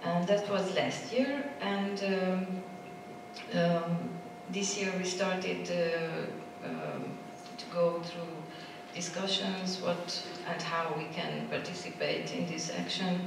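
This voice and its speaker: A woman speaks at a symposium in a slow, halting way, often searching for words. Her voice sits a little low. She is heard from a distance in a large, very echoey theater hall with clear background noise. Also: lively intonation. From Romania. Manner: halting, searching for words in a non-native language. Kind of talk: unscripted conference presentation.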